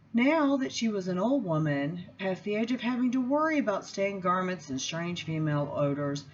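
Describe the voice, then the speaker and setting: A woman reads from a book aloud in an expressive style, with measured, deliberate phrasing and emphatic pauses. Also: mid-range voice, dull audio, slight background noise. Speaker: seminary student reading aloud. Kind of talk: expressive book reading with spoken introduction.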